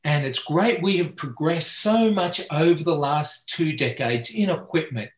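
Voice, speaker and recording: A man's voice: on the high side, lively intonation, measured pace. A middle-aged man with an Australian accent, a veterinarian answering interview questions earnestly and passionately, sometimes restarting his sentences. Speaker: veterinarian guest. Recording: echoey room.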